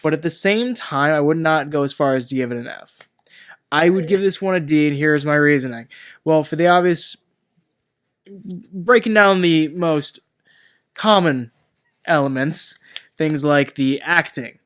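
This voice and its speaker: High-pitched man ranting about movies. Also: a little slow, long pauses, fairly bright tone. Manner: emphatic, profane ranting. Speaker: podcast co-host reviewing movies. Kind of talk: casual movie-review chat.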